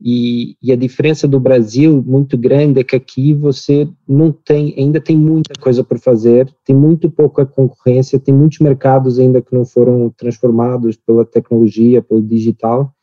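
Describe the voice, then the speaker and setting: A man in his thirties from Portugal with a European Portuguese accent, speaking as a podcast guest in a measured way with small restarts. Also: mid-range voice, level tone, dark tone, no room sound, lo-fi sound. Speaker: podcast guest, company cofounder. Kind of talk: relaxed business podcast conversation.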